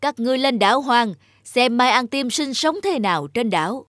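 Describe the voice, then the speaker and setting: A woman's voice: a little high, lively intonation, moderate pace, a bit bright, no room sound, studio quality. A woman voicing a proud, stern old king with a commanding manner in a cartoon fairy tale. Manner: imperious, commanding.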